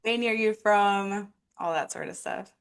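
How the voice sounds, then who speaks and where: A woman hosts a podcast interview, asking questions in a friendly, upbeat way with the odd "like" and "you know." Her voice sits at an ordinary middle pitch. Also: moderate pace, clean tone. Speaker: podcast host. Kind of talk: casual interview hosting.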